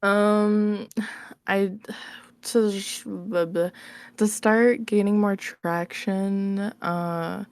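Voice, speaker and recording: A woman's voice: mid-range voice, steady tone, extremely slow, smooth, dry recording. A woman chatting informally as an interview guest, giggly and a bit hesitant in her phrasing. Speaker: interview guest, ASMR roleplay creator. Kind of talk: casual interview chat.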